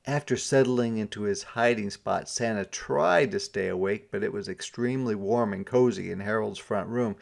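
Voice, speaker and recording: A man reading a storybook aloud to children as a teacher, pausing to ask questions and explain things simply. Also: on the low side, gravelly. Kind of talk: interactive storybook read-aloud.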